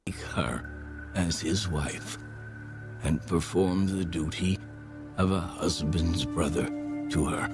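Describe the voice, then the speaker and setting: A man narrating scripture from a script, solemn and deliberate, with measured phrasing. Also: low-pitched. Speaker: audio Bible narrator. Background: background music.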